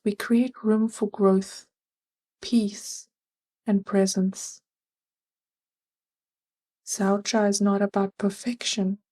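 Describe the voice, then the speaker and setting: A woman leading a scripted guided meditation, giving gentle, measured and soothing instructions. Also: mid-range voice.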